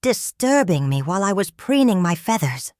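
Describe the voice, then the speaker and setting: A woman's voice: mid-range voice, sing-song, moderate pace, a little mellow, no room sound, studio quality, natural voice. Woman voicing a haughty storybook cockerel. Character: vain, preening male farmyard cockerel. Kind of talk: storybook character reading.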